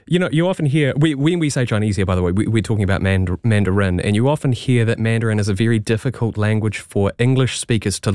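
A man's voice: lowish voice, darkish tone, no room sound. Kiwi male radio host, dry close studio sound, friendly, stumbles and restarts. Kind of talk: radio interview.

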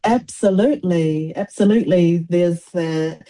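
Low-voiced New poet woman. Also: warm tone. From New Zealand. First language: Samoan. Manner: thoughtful, with frequent restarts and pauses. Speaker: poet and prize-winning author. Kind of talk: reflective interview answers.

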